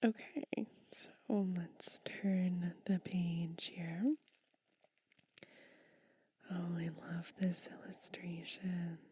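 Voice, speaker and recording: A woman whispering softly through a book reading for ASMR, pausing to comment between verses. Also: lowish voice, flat delivery, husky. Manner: soft whisper, unhurried, reads verses aloud. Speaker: ASMR reader.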